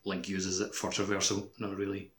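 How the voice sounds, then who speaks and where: A man's voice: deep voice, completely flat delivery, fairly quick, fairly bright tone. A man chatting casually on a gaming podcast, informal and often saying "like" as he goes. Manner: informal, peppered with "like". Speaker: podcast panellist. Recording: echoey room.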